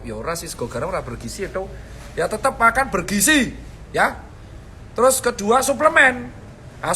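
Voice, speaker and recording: A man with a lilt gives health advice in a high, ringing voice. His delivery is so blunt and fierce that it comes across as angry, and he keeps tossing slangy "boss" and "bro" at his listeners. A faint hiss sits under the voice. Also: pushed and bright. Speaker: vlogger giving health advice. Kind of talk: casual direct-to-camera talk.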